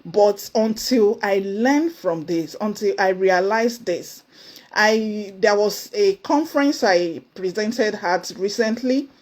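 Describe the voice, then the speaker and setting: Earnest Nigerian woman, emphatic and lively. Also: takes it slowly. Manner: earnest, emphatic, with sudden high exclamations. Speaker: motivational speaker. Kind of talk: motivational talk to camera. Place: indoors, seated before camera.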